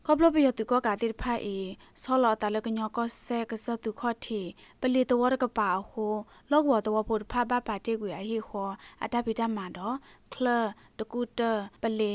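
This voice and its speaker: A woman's voice: a little high, a little fast, a little mellow, no echo. A woman presenting scripted news as a radio newsreader.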